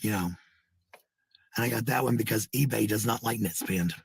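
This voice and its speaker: An American man with a husky, gravelly voice rambling casually to livestream viewers, blunt, sweary and given to repeating phrases. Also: on the low side, moderate pace, no echo. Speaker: live streamer.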